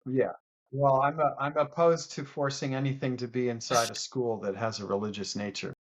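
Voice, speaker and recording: A man appearing as a podcast guest, speaking earnestly and haltingly, often restarting in a casual political debate. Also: medium pitch, fairly even intonation, dull audio.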